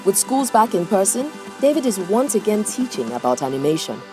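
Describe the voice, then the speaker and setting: A woman reading scripted narration for a news feature, her pitch moving a lot as she speaks. Her delivery is polished and broadcast-ready. Loud music plays beneath her voice. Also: very animated, fairly quick.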